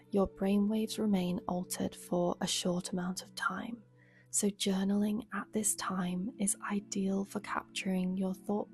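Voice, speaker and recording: A woman guiding a meditation as a yoga nidra teacher, speaking softly and soothingly with a breathy, unhurried delivery. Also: medium pitch. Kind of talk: guided meditation.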